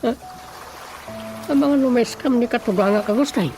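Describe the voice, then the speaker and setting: A woman's voice: a little high, normal speed, a little mellow, natural voice. A woman playing a wry, grumbling companion with an Ilocano accent in a radio comedy drama. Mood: scornful. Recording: music behind the voice.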